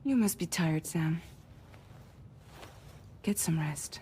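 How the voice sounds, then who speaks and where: An actress playing a caring, patient crew member in a game, calm and gently firm in her delivery. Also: low-pitched, takes it slowly, background noise. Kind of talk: naturalistic cinematic game acting.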